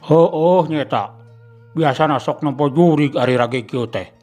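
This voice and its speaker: A man voicing an uneasy village husband in a radio storytelling, talking slowly. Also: a little high, a little mellow, soft background music, lightly acted.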